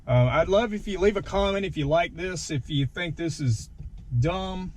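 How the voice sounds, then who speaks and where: A man with a fairly high voice talks through a demonstration in a casual, self-deprecating way. He sounds informal and hands-on, recorded inside a vehicle with noticeable background noise. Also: normal speed, darkish tone. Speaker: vlogger demonstrating his gear. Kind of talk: casual hands-on video walkthrough. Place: inside a pickup truck.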